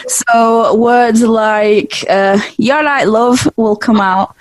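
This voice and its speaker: A woman's voice: fairly high pitch, fairly even intonation, extremely slow, dry recording, poor audio. Chatty Portuguese woman host, Yorkshire tinge. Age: 30s. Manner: chatty, laughs often, frequent restarts. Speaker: podcast host. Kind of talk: casual conversational interview.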